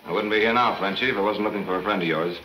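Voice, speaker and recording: A tough, shrewd American detective, low-pitched and quick in delivery. It sounds like an old film serial with music underneath. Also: male voice, pushed and bright, rough recording. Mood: wry, guarded. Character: confident, shrewd police detective, tough and brisk. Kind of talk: 1940s film serial acting.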